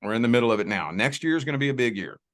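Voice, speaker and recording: A man's voice: mid-range voice, expressive, a bit bright. A man from the Indiana and Kentucky border with a Southern accent, a guest giving a folksy, reassuring tarot reading on a video call. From Southern Indiana near Louisville, Kentucky. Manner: folksy, reassuring, drawn-out emphasis. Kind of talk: spiritual conversation and tarot reading.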